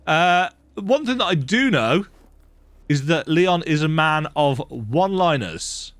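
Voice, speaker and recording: A man's voice: on the high side, lively intonation, takes it slowly, pauses often, a bit bright, no echo. A man with a bit of a cold giving chatty, self-deprecating commentary while playing a horror game at home. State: slightly sick with a cold. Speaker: gaming video host. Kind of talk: casual let's-play commentary. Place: dark room at home with lights off.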